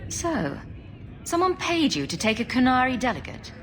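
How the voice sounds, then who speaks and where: An actress playing a diplomatic female adventurer in a video game, composed, courteous and gently questioning. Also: sits high, very animated, normal speed, faint music behind. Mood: calm probing. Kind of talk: video game cutscene acting.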